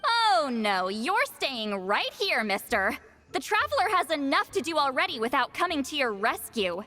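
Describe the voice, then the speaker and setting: Wry, capable spirit expert, higher voice. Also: female voice, big pitch swings, moderate pace, pushed and bright, heavily acted. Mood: firm. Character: seasoned, capable female spirit expert, dry and bossy. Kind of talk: video game cutscene dialogue. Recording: music behind the voice. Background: background music.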